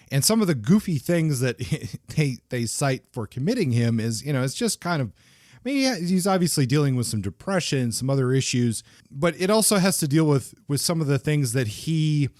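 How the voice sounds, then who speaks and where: A man's voice: mid-range voice, darkish tone, dry recording. Podcast guy mulling over a book, restarts a lot, dead dry sound. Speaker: podcast co-host. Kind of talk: casual book discussion.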